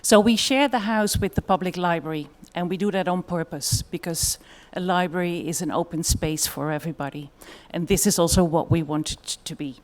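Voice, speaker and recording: Dutch-accented woman giving a conference talk, kinda low voice, lots of ums and uhs. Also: a little fast, few pauses. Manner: thoughtful, with frequent fillers. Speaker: conference speaker. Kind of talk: conference presentation.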